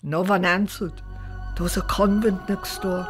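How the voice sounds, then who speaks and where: A grave, weary refugee father, voiced by a woman, recounts a night of danger in a hushed storytelling voice. German words slip into his speech. Music plays clearly beneath a mid-pitched voice. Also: steady tone, a little slow, natural voice. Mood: tender, grave. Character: weary refugee father telling his daughter her birth.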